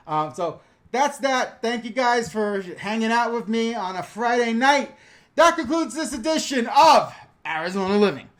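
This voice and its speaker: A middle-aged man from the New York area with a fairly high voice chats through viewer questions on a live stream, recorded on a GoPro camera. He talks loosely and jokes around, laughing often between answers. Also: lively intonation, moderate pace, a bit bright. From New York/New Jersey area. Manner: chatty, joking, laughs often.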